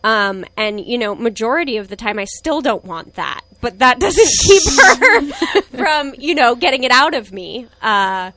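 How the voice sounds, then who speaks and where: A woman's voice: on the high side, big pitch swings, a little slow, hardly any pauses. A woman in her twenties talking candidly and reflectively about her relationship in a casual conversation. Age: 20s. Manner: reflective, candid, soft laughs. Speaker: guest.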